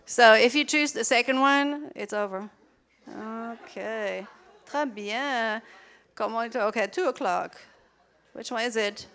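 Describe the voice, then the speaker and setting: A woman's voice: on the high side, fairly bright tone. Chatty German woman presenting. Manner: chatty, laughing asides, quick questions to the audience. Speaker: presenter at a teacher conference. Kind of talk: conference workshop talk. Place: large lecture hall.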